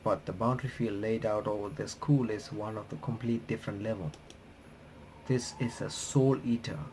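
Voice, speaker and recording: Man haltingly reading game text aloud, faint noise. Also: fairly low pitch, fairly even intonation, a little mellow. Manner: halting, stumbling reader who rereads phrases. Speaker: gaming YouTuber reading a visual novel aloud.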